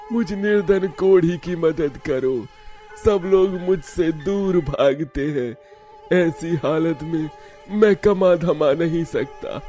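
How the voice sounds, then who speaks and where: A man's voice: fairly high pitch, dark tone. A man playing a poor, sickly older man in a cartoon story, pleading and humbly grateful. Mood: pitiful, pleading. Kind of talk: Hindi cartoon story acting.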